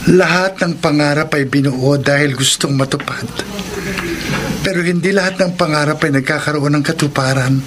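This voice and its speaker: A man playing an earnest, selfless friend giving counsel in a Filipino radio drama, with measured, philosophical delivery. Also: fairly high pitch, noisy background, close to an everyday voice. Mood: solemn. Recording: music under the voice. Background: music.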